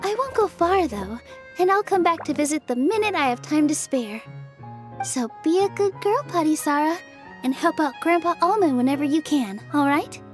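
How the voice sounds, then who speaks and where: A woman playing a gentle, caring young fairy in a video game, speaking earnestly and gracefully. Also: high-pitched, animated, moderate pace, faint music behind. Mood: tender. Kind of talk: scripted video game dialogue.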